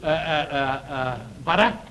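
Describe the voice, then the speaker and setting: A man's voice: fairly high pitch, unhurried, fairly bright tone. A man guessing as a contestant in a charades game on an old, noisy television broadcast.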